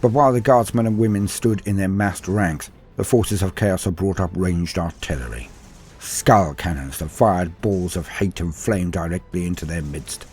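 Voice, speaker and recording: Male narrator for dark war fiction: low, gravelly-husky voice, solemn theatrical delivery. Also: moderate pace. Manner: grave, theatrical storytelling with falling phrase endings. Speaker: narrator and storyteller.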